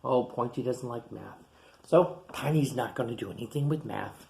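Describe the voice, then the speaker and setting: A man who teaches young children, reading a picture book aloud in a playful, animated way with gasps and chatty asides. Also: mid-range voice, normal speed, vocal fry, a little hiss or hum. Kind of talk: storybook read-aloud for children.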